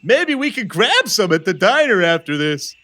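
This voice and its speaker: A goofy police deputy, acted by a man in a comic radio play, with a very high, bright voice. He is chirpy and easily distracted. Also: sing-song, moderate pace, heavily acted. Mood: cheerful. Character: Doyle, pie-loving goofy police deputy. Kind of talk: comic audio drama acting.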